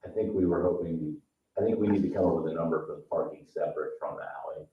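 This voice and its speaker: Distant and echoey, a man speaks in a meeting discussion. His voice is extremely deep and flat in pitch, slightly muffled by the recording. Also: fast talker. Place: indoor public meeting room. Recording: echoey room, distant muffled mic, faint noise.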